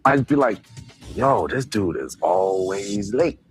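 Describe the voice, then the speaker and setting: A man from Jamaica telling his life story in a documentary interview, relaxed, with many "you know"s. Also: lowish voice, takes it slowly. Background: music bed.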